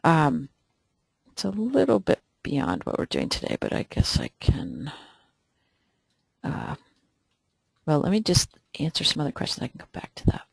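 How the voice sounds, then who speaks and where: A webinar instructor answering viewer questions during a live software demo, hesitant and thinking aloud with many pauses. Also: mid-range voice, wildly swooping pitch, vocal fry, breathy edge, no room sound.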